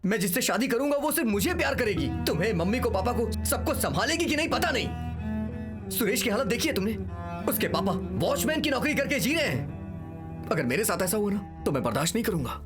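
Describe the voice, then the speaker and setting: An anguished young son, defensive and lovesick. His pitch moves in lively swings, and music plays clearly behind the melodramatic film dialogue. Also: fairly quick. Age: young adult. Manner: anguished, protesting. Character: lovesick, defensive young son torn between love and family. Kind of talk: melodramatic dubbed film drama.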